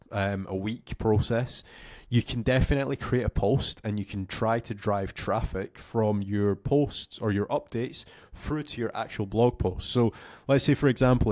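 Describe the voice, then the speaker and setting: A young man, slightly hoarse with a cough, giving a casual, filler-heavy screen-share tutorial into a close studio mic. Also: lowish voice, a little mellow, no echo. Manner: casual, rambling with many fillers. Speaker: SEO coach.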